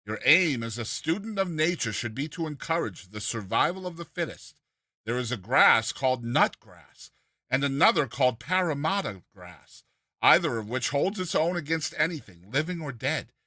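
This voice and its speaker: A man's voice: medium pitch, expressive, moderate pace, bright, forceful tone, no echo, clean studio sound. A man reading a classic humorous story aloud as a dramatized narration, with a theatrical, mock-earnest delivery. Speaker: reader and narrator of a short story.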